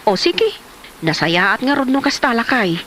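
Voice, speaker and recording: A woman with an Ilocano accent playing a practical, wary village wife in a comedy drama, with an expressive, plain-spoken delivery. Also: on the high side, measured pace, slight background noise. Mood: agreeable.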